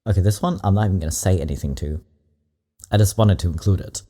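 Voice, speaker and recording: A man's voice: sits low, quick pace. A man hosting a reaction video, giving casual, rambling commentary with asides. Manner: relaxed, rambling asides with laughs.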